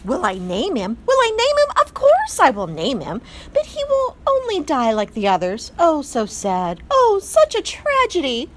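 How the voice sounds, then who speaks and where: Woman putting on a French mother mouse, vain, melodramatic, high, swooping, sighing. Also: huge pitch swings, a little slow, heavy background noise, exaggerated voice. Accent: put-on French. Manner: theatrical, wailing and sighing.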